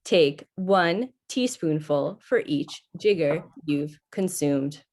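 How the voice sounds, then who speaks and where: Helpful machine voice played by a woman, impassive, slow and lowish, vintage radio sci-fi. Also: lively intonation, a little mellow, heavily acted. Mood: placid, helpful. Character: placid, literal-minded helpful talking computer. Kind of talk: 1950s old-time radio acting.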